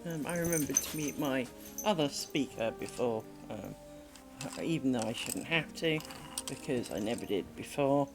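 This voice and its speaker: A woman's voice: rumbling low, lively intonation, normal speed, over music. A woman chatting casually on a craft live stream, rambling and hesitant with many ums and restarts. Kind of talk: casual live-stream chat while making lace.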